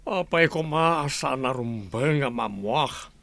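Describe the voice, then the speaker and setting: Hot-headed dad, ilocano accent, forceful creaky voice, radio play. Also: male voice, medium pitch, animated, takes it slowly, exaggerated voice. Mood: worried, demanding. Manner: forceful, insistent. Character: hot-headed, indignant, protective middle-aged father. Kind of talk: radio drama acting.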